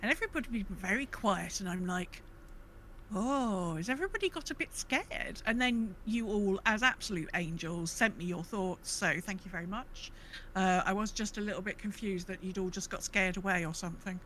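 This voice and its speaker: A woman's voice: mid-range voice, moderate pace, poor audio. A British woman hosting a podcast, chatting casually and acting out her reactions as she tells stories. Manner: animated storytelling, acting out her own reactions. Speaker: podcast host. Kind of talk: casual gaming podcast chat. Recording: clear background noise.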